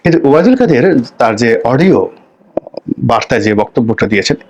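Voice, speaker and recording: A middle-aged man with a Bangladeshi accent gives unscripted political commentary and personal anecdotes, phrasing things deliberately with drawn-out pauses. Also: mid-range voice, big pitch swings, darkish tone. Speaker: political commentator, former parliamentarian.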